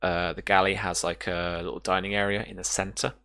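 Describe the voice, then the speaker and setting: A man narrating a tabletop role-playing game as game master in an improvised, halting way, pausing to find his words. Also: sits low, level tone, creaky, sharp tone.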